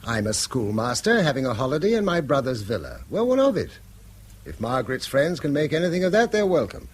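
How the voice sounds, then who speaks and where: An actor playing a proud, hard-up middle-aged English schoolmaster and husband in a radio drama, earnest, persuasive and slightly declamatory. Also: male voice, mid-range voice, big pitch swings, fairly quick, husky. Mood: playful, persuasive. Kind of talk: British radio drama acting.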